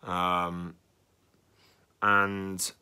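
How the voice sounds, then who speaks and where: A young man talking anxiously in a casual vlog, congested and a little raspy from a cold, clearing his throat often. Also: low-pitched, little pitch movement, extremely slow, pushed and bright. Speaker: vlogger.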